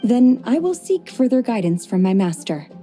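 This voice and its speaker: A woman voicing a calm, polite, aloof young woman warrior who speaks in a measured way in a game cutscene. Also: on the high side, faint music behind.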